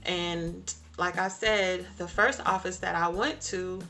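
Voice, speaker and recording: A woman's voice: fairly low pitch, steady tone, takes it slowly, bright, forceful tone, soft background music. A woman in her forties talking casually to the camera in her home kitchen, emphatic and repeating words for stress. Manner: emphatic, repeats for stress, talks directly to viewers. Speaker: vlogger. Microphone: camera or phone in front of her.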